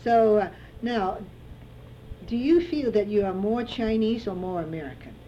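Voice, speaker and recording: A woman interviewing an older man, asking gentle questions with fillers. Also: mid-range voice, expressive, measured pace. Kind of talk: oral history interview. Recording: dull lo-fi tape with faint hiss.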